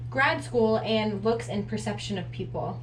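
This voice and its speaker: A woman's voice: medium pitch, unhurried. A woman in her twenties chatting casually to camera as a vlog co-host. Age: 20s. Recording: roomy echo, distant mic, noisy.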